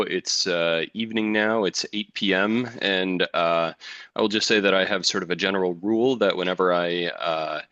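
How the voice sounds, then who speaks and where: A man in his thirties from Wisconsin with an American accent, presenting a physics education webinar in a relaxed, chatty way with many ums. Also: low-pitched, dry recording, muffled recording. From Wisconsin, United States. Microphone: computer mic on a video call.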